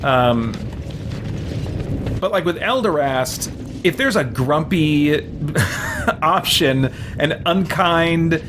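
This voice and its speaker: A man's voice: fairly high pitch, lively intonation, extremely slow, pauses often, background noise. A man hosting a let's play, giving rambling, informal commentary over a video game. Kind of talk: casual commentary over gameplay. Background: game music.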